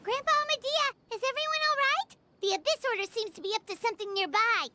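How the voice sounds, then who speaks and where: A woman voicing a tiny, chirpy, childlike fairy companion in a video game, curious, excitable and expressive. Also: very high-pitched, fast talker, a little hiss or hum, exaggerated voice. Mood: concerned, inquiring. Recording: music behind the voice.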